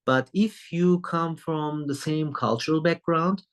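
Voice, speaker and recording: A man from Turkey with a Turkish accent, English not his first language, giving a recorded university lecture over a video call, often checking in with "right?" and pausing on "um". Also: fairly high pitch, clear, resonant tone. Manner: frequent tag question "right?" and filler "um". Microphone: computer mic on a video call.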